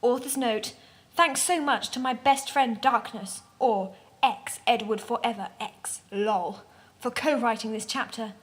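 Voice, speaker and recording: A woman with a high-pitched voice narrates a story as a comic dramatic reading. Her delivery is theatrical and mock-serious. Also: unhurried.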